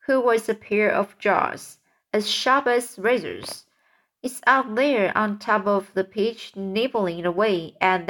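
A woman voicing a kindly, motherly female ladybug in a storybook reading, reassuring and halting now and then. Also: medium pitch, a bit bright. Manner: reassuring, hesitant with fillers.

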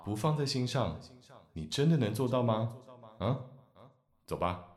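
A man playing a calm otherworldly guide of the dead, asking patient, probing questions. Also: mid-range voice, measured pace. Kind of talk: radio drama acting. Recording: echoey room with soft music.

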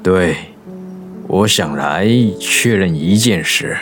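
Low, slow regretful middle-aged father. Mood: grave, resigned. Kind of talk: radio drama acting. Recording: dramatic music behind the voice.

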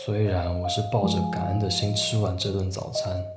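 An actor playing a sensitive, unsettled man around forty in a radio drama, speaking soft, introspective Taiwanese Mandarin. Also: low-pitched, level tone, a little fast, close to an everyday voice. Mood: reflective, unsettled. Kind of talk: radio drama narration and dialogue. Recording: roomy echo, music behind.

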